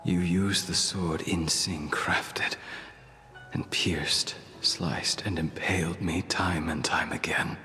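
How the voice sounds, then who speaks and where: Bitter game swordsman, tormented, grave, very low voice, slow, long reverb. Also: steady tone, pushed and bright, in a whisper, full character voice. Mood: bitter, haunted. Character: brooding, tormented immortal male swordsman, bitter and weary. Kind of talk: dramatic video game voice acting. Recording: long reverb, music behind.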